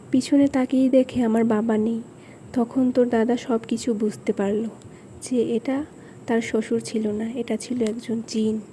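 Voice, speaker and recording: Cartoon storytelling grandmother, played by a woman: higher-pitched, mellow, earnest, recalling a frightening night. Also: a little hiss or hum, lightly acted. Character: village grandmother telling grandchildren a spooky memory.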